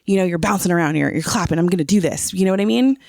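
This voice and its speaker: Gravelly podcast co-host, dry close sound. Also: medium pitch, very fast, no echo. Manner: often checks that the listener follows. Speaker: teacher. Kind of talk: casual podcast chat, explaining song lyrics.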